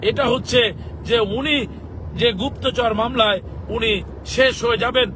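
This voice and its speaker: A Bangladeshi man with a very high-pitched voice addresses his political followers. He speaks emphatically, breaking his sentences into short, halting phrases. Clear traffic and outdoor city noise runs behind him. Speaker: political activist and legal expert.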